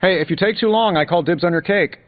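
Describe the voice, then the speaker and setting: An actor playing a cocky billionaire inventor superhero in a video game, glib and sarcastic with playful quips. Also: male voice, high-pitched, fast, no echo, narrow, tinny audio, close to an everyday voice. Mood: joking. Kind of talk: video game character dialogue, quippy banter. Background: music.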